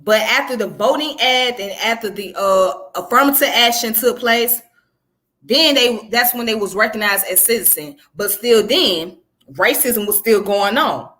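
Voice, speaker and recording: A woman's voice: on the high side, a little slow, sharp tone. A woman from Georgia gives informal educational commentary, explaining conversationally and often checking in with the listener. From southern United States, Georgia. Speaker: history presenter.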